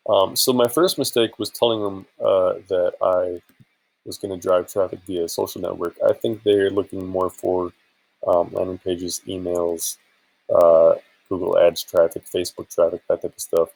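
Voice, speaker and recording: Tired guy with a low creaky voice, rambling thru a casual vlog, kinda hesitant. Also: level tone, normal speed, darkish tone, poor audio. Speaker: vlogger and affiliate marketer. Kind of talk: casual screen-share vlog chat.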